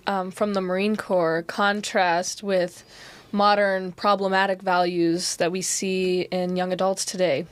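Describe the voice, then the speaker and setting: A woman co-hosting a podcast, putting direct questions in a measured way. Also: fairly low pitch, little pitch movement, smooth, no echo. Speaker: podcast co-host. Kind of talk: interview questions.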